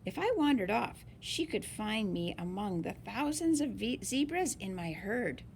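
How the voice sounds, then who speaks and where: A woman reading a picture book aloud in an expressive, deliberate way for young listeners. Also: mid-range voice, gravelly, slight background noise. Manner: slow, deliberate, animated phrasing for young listeners. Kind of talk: expressive children's read-aloud.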